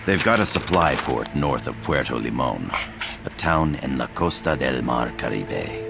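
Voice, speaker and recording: An actor playing a courteous older professor in a game cutscene, formal and earnest. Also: male voice, a bass voice, animated, measured pace, vocal fry, exaggerated voice. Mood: solemn. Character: courteous, grave older male Costa Rican professor, pleading. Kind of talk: dramatic game cutscene acting. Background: loud dramatic music.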